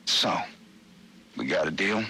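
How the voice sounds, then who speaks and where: An actor playing a crooked, smug cop in a video game, talking in a streetwise, cajoling way. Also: male voice, deep voice, lively intonation, slow, sharp tone, a little hiss or hum, full character voice. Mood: pushy, smug. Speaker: police officer.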